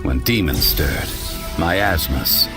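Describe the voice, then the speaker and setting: Deep, solemn game storyteller. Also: male voice, animated, loud music behind the voice, heavily acted. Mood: grave, ominous. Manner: grave, measured, dramatic. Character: solemn male storyteller reciting an ancient dark legend. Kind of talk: scripted narration in a video game.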